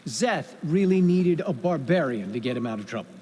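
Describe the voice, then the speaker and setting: An actor playing a suspicious, officious guard in a video game, stern and sneering toward outsiders. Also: male voice, fairly high pitch, animated, normal speed, warm tone, a little hiss or hum, lightly acted. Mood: disbelieving, scornful.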